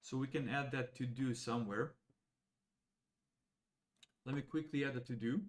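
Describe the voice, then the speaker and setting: A young man from Serbia, a native Serbian speaker, thinking aloud as he walks viewers through code, halting and casual. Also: medium pitch. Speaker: AI educator. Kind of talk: live coding walkthrough.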